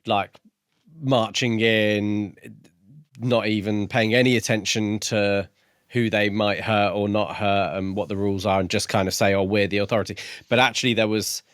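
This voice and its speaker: A man with a slightly low voice, recorded close and dry, speaks as a podcast co-host. He talks through books casually, sounding thoughtful and agreeable. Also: little pitch movement, normal speed, fairly bright tone, clean tone, no echo. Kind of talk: casual book discussion.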